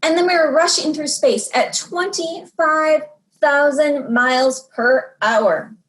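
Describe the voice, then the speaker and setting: A woman reading a storybook aloud to young children in an expressive way, drawing out words for dramatic emphasis. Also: high-pitched, slow, rough recording.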